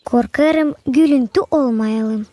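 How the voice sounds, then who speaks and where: A woman voicing an anxious, chatty musician in an animated cartoon. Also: sits high, takes it slowly, no echo. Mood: worried. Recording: music clearly behind the voice.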